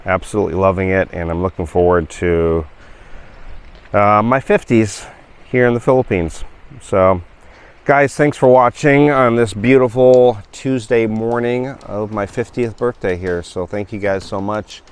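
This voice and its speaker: Smooth-voiced fifty-year-old man rambling. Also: on the low side, measured pace. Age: 50s. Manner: rambling, relaxed, with frequent fillers and laughs. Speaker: travel vlogger. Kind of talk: casual walk-and-talk vlog.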